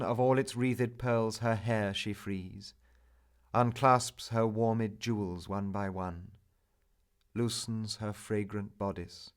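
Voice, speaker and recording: A man narrating a Romantic poem as a dramatic recitation, theatrical and measured, swelling and falling to hushed tones. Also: on the low side. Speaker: narrator, poetry reader.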